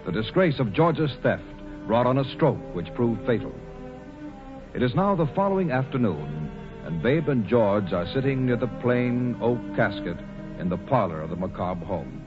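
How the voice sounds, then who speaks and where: A man's voice: mid-range voice, very animated, normal speed. A man with an American accent giving formal, solemn narration for a 1940s radio drama. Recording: muffled old radio broadcast with music behind.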